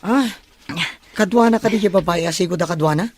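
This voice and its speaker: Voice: mid-range voice, a little fast, darkish tone, no echo, natural voice. An actor voicing a loyal, eager young male student sidekick in an audio drama. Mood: concerned, helpful. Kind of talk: Filipino audio drama dialogue in Ilocano.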